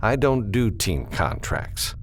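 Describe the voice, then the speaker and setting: A man voicing a gruff, reluctant loner who pilots a spaceship for hire in an audiobook. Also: fairly low pitch, very animated, takes it slowly, a little hiss or hum, voice pushed up, full character voice.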